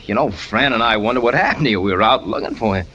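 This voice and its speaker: A man's voice: fairly low pitch, expressive, fast, highs cut off, lightly acted. An actor playing a breezy, unsuspecting man, casual and blunt with his questions, in 1940s radio drama style. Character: breezy, unsuspecting circus acrobat pal. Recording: noisy old radio recording.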